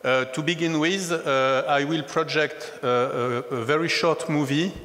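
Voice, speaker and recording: A man giving a conference presentation, speaking in a hesitant, halting way. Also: a little high, fairly even intonation, fairly bright tone. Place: large conference hall. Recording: echoey hall, background noise.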